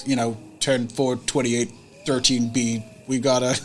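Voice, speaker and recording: A man's voice: mid-range voice, flat delivery, a little slow, noisy background. A man playing a tabletop roleplaying game, chatting casually and describing what his character does.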